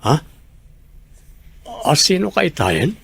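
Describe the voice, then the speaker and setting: A man's voice: fairly high pitch, huge pitch swings, slow, creaky, a bit bright, husky, full character voice. A man playing an amiable older suitor in a radio drama, speaking with an Ilocano accent. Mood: surprised, friendly.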